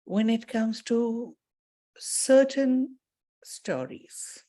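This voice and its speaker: Female meditation teacher. Very unhurried, soft-edged mellow tone, soothing and measured, mid-range pitch, deliberate pauses. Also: lively intonation. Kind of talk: guided meditation and spiritual talk.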